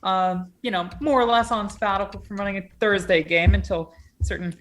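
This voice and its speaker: A woman's voice: mid-range voice, steady tone, a little fast, a bit bright. A woman game master chatting cheerfully about the campaigns she runs. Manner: upbeat, chatty, promotional. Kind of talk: casual stream chat.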